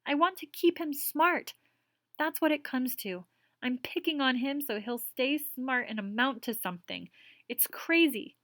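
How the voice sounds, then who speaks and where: A woman putting on a worn-out middle-aged schoolteacher, her voice raised higher and louder than plain speech as she pleads. She sounds near tears and emotional, close to a dry microphone. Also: a little slow, no room sound. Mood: distressed. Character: weary middle-aged female teacher, distraught.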